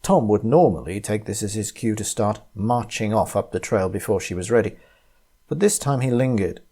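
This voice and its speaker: A man reading a short story aloud as its narrator, in an expressive, dramatic way. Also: fairly low pitch, normal speed, breathy edge.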